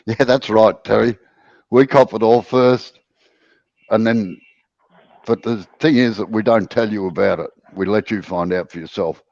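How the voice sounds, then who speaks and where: A man's voice: on the low side, unhurried, creaky, long pauses, darkish tone, no echo, highs cut off. An Australian man hosting a woodturning livestream, chatting casually with viewers in a laid-back, drawling way and sounding breathless. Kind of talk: casual chat with viewers and co-host.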